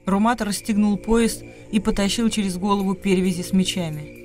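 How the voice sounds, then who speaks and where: A man's voice: sits high, steady tone, a little fast, darkish tone. A man reading scripted literary narration as the narrator of a radio play. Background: music.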